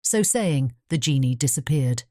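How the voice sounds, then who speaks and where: A woman's voice: a bass voice, lively intonation, a little slow, warm tone, no echo, studio quality. A woman reading scripted storybook narration as an audiobook narrator.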